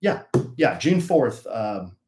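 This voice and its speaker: Middle-aged man, booming lively co-host, echoey room. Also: slow pace, background noise. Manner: easygoing, laughing, booming voice. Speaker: podcast co-host. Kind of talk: casual live-stream chat. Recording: roomy echo.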